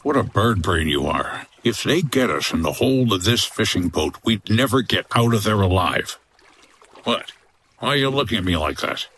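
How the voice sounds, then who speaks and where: A man's voice: fairly low pitch, animated, moderate pace, a bit bright, breathy edge, exaggerated voice. A man playing a wry, tough 1950s boat skipper in a comedy audio drama, talking in a clipped hardboiled way. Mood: curt, firm.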